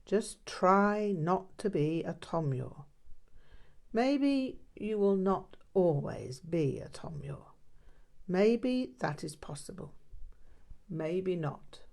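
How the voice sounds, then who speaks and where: A woman's voice: on the low side, very animated, slow pace, creaky, darkish tone, exaggerated voice. A woman voicing a stern, probing old male Buddhist master in an audiobook, with blunt, emphatic short sentences. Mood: measured, teasing. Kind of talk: audiobook character dialogue.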